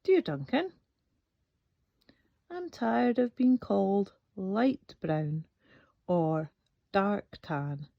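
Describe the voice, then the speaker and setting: A woman's voice: mid-range voice, gravelly, heavily acted. A woman voicing a proud, aggrieved beige crayon from a storybook, complaining emphatically. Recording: dull, echoey room recording.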